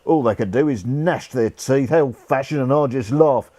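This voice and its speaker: An actor playing a cheerful, irreverent rough soldier's ghost in a radio play, talking in jaunty, colloquial speech. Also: male voice, medium pitch, moderate pace, dark tone, no room sound, close to an everyday voice. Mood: jolly, unrepentant. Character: cheeky, cheerful old-soldier ghost, rough common man. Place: actor's home.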